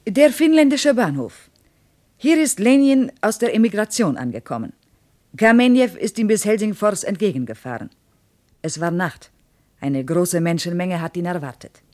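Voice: medium pitch, lively intonation, normal speed, darkish tone, natural voice. A performer playing an earnest, reverent female Soviet tour guide in a radio play, reciting history.

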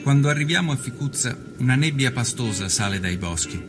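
A man playing an observant nineteenth-century journalist, reading a reflective travel diary for a documentary in a measured, literary way. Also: medium pitch, animated, natural voice. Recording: music under the voice.

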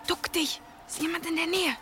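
A woman playing a defiant, sharp-tongued young pagan villager in a German audio drama, scornful and commanding. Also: extremely high pitch, steady tone, normal speed, over music. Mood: urgent, alarmed.

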